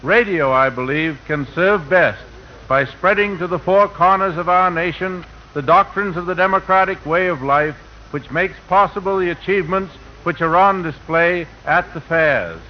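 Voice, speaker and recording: A man's voice: high-pitched, moderate pace, muffled recording, a little hiss or hum. A man delivering a formal, oratorical prepared speech as a fair official in an old 1940 radio broadcast. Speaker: fair official and speaker introducing guests.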